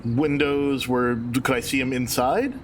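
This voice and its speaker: A man with a mid-range voice presses the game master with questions about a scene. Steady background noise runs under his voice. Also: medium pitch, measured pace. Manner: probing, asks follow-up questions. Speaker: player. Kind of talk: casual game talk. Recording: noisy recording.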